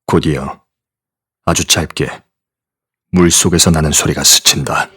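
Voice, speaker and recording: A stern, very deep-voiced agent. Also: male voice, steady tone, measured pace, bright, forceful tone, slightly rough, heavily acted. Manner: clipped commands, dry asides. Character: stern, curt male field agent. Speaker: agent and narrator. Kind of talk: audio drama narration and acting.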